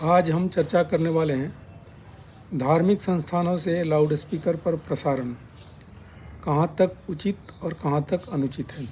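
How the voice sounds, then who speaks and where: A man hosting a formal, measured explainer, speaking haltingly in short broken phrases. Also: a little high, steady tone, warm tone, a little hiss or hum. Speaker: YouTube channel host. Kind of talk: spoken explainer, point by point.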